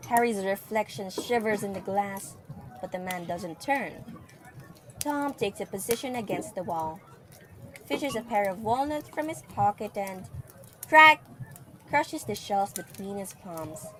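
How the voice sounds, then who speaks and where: Female narrator for a fantasy audio drama, medium pitch, dramatic scripted read, faint noise behind. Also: expressive, unhurried.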